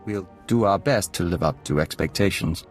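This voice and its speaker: An actor playing a calm, devoted hive-mind envoy in a video game, speaking formally and in a measured, serene way. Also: male voice, low-pitched, soft background music. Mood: polite, thoughtful. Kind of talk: video game cutscene acting.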